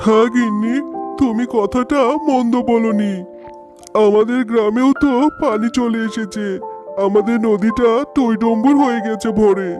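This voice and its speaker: A man voicing a kind, resourceful fox husband in a cartoon, with an earnest way of talking. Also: high-pitched. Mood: concerned. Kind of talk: cartoon dialogue. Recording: music behind the voice.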